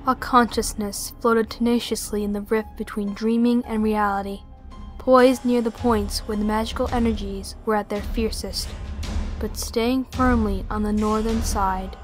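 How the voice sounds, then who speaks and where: A woman narrates a fantasy audio play in a descriptive storytelling style. Her pitch is mid-range, and music plays lightly beneath her. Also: fairly bright tone.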